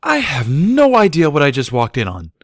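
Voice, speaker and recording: A man's voice: on the high side, huge pitch swings, moderate pace, no echo, studio quality, voice pushed up. A man voicing a sheepish, nervous teenage male pony in a storybook reading, awkward and hesitant.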